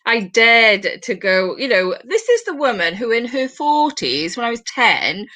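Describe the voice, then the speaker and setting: A woman in her forties with a British accent, hosting a casual chat over a video call, rambling with quick asides. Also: on the high side, big pitch swings, pushed and bright. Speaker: host.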